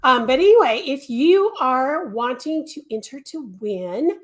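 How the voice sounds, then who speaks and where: A high-pitched woman in middle age chats casually on a livestream, her voice fraying as she loses it. She rambles warmly with frequent ums and restarted sentences. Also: expressive, a little slow. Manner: chatty, many ums and restarts. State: losing her voice. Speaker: crochet designer hosting a livestream.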